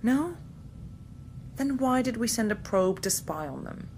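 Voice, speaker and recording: A woman's voice: animated, a little slow, darkish tone. A woman playing a blunt, sarcastic starship doctor in a science fiction audio drama, talking in a dry, casual way. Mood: sarcastic, irritated. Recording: background noise with low rumble.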